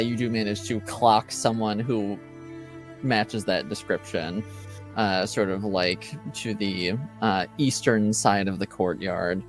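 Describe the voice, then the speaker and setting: A man narrating as game master of a tabletop game, pausing often with ums and uhs. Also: fairly low pitch. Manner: hesitant, lots of ums and uhs.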